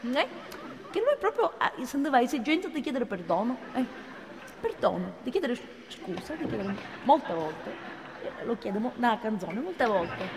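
Chatty working-class woman, earnest and repetitive, animated, theatre reverb with faint noise. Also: fairly high pitch, normal speed, a crowd in the background. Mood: earnest musing. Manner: rambling, repeats herself, seeks agreement. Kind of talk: comic theatrical monologue.

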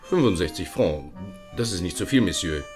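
An actor playing an obliging junk dealer with a deferential, salesy manner. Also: male voice, deep voice, expressive, moderate pace, over music. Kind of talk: radio play acting.

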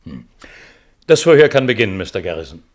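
A man's voice: fairly low pitch, sing-song, brisk, a little mellow. An actor playing a courteous, businesslike chairman who runs a hearing in a radio play. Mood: official. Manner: official, orderly, courteous. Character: formal, businesslike male chairman of a security hearing.

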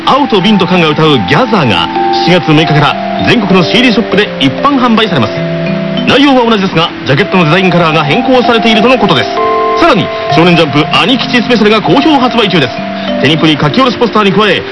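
Earnest teenage captain as newsreader: formal, brisk, lively pitch, scripted radio segment. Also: male voice, loud music behind the voice. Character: serious, formal teenage tennis captain reading the news. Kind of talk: scripted radio news bulletin.

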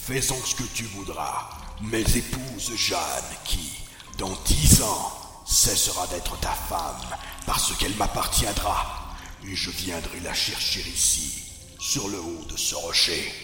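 A man voicing the Devil, a commanding, menacing tempter who speaks slowly and imperiously in a storybook tale. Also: deep voice, animated, voice pushed down. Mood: menacing, tempting. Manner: slow, deliberate, imperious delivery. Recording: cavernous long echo, music underneath.

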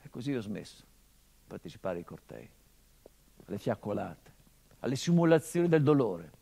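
A middle-aged actor playing the grieving son of a murdered journalist in a theatrical monologue, brooding and bitter, moving between hushed and impassioned delivery. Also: male voice, lowish voice, very animated, normal speed, gravelly, husky, full character voice. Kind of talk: theatrical monologue broadcast on radio.

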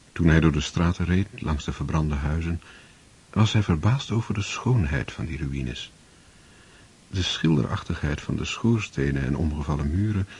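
A man narrating a radio play in a measured, literary manner. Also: low voice, warm tone, slightly rough.

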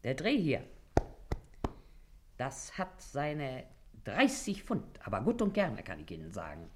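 A woman's voice: extremely low pitch, big pitch swings, takes it slowly, background noise, heavily acted. An actress playing a shrewd, brazen middle-aged working-class mother in a radio play, with an earthy, canny manner and a Berlin accent. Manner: earthy, canny, feigning indifference. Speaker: actor. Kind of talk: German radio play acting in dialect.

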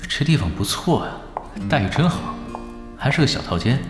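An actor in a Chinese audio drama playing an easygoing, wry young retired pro gamer with a laid-back, unhurried way of talking. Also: male voice, medium pitch, sing-song, natural voice. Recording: music behind the voice.

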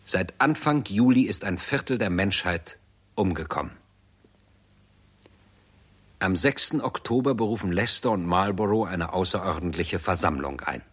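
An actor narrating a radio drama as a grave chronicler, in a measured, sober, matter-of-fact manner. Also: male voice, low voice, expressive, fairly bright tone, breathy edge, full character voice. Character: grave male chronicler of a world catastrophe.

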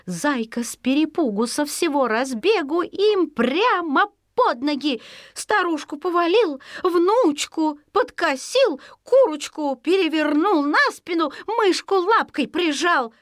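A woman narrating a children's folk tale expressively, in a singsong folksy way with drawn-out repeated words. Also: sits high, sing-song, no echo, studio quality. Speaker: storyteller.

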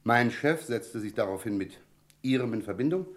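A man's voice: mid-range voice, animated, normal speed, lightly acted. A man playing a self-assured Scotland Yard chief inspector in a German radio crime drama, explaining things with dry, polite irony. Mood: matter-of-fact. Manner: drily ironic, politely explaining.

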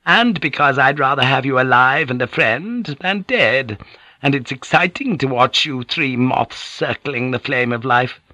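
Male narrator as shrewd Japanese businesswoman, audiobook: raised pitch, bright, deferential, insistent. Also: unhurried, no echo. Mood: earnest pleading. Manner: flattering, insistent, humbly courteous.

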